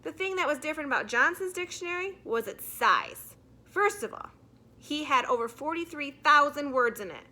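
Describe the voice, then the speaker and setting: A woman's voice: high voice, lively intonation, moderate pace, pushed and bright, voice pushed up. A woman voicing a nervous but earnest schoolboy in a children's storybook, speaking plainly and a little hesitantly while giving a report.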